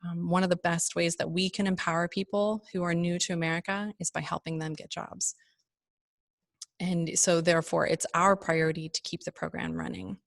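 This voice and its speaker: Low, creaky-voiced woman giving a talk. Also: expressive, moderate pace, no echo, studio quality. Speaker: nonprofit leader hosting a fundraiser. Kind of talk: prepared fundraising talk.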